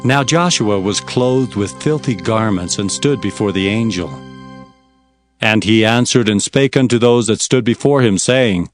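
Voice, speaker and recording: A man playing an earnest prophet who recounts his visions in formal, expressive storytelling for dramatized scripture. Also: on the low side, unhurried, close to an everyday voice. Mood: reverent. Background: faint music.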